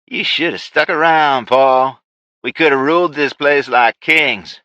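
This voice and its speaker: A male narrator voicing an unhinged, gloating crime boss villain who shouts in a shrill voice. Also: measured pace, no room sound, muffled recording.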